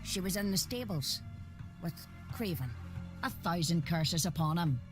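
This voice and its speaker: A woman playing a shaken, bitter villager in a fantasy game, halting and uneasy. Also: on the low side, expressive, music in the background. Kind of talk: fantasy video game acting.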